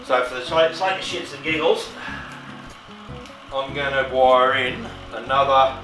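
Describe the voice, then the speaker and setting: A middle-aged man giving a casual hands-on demonstration, thinking aloud in a laid-back, halting mutter. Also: mid-range voice, pushed and bright, soft background music. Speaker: host of a workshop tinkering channel. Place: cluttered shed workshop, indoors. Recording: roomy, distant camera sound.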